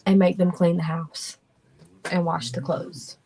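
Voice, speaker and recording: A young adult woman hosting a livestream in a relaxed, drawling, chatty way. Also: lowish voice, unhurried, creaky, a crowd in the background, poor audio. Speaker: streamer and host. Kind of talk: casual livestream chat.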